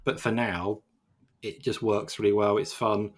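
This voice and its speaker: A man's voice: on the low side, flat delivery, unhurried. A man co-hosting a casual games podcast, rambling and self-deprecating with lots of fillers. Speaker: games journalist co-host.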